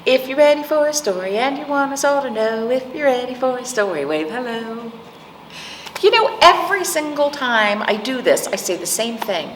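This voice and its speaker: A woman's voice: a little high, lively intonation, normal speed, a bit bright. A woman hosting storytime, reading picture books aloud to young children with simple explanations and questions. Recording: roomy, distant mic, faint noise.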